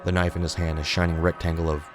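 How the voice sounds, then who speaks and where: Very deep male horror narrator. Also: flat delivery, quick pace, over music. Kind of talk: scripted narration, suspense fiction.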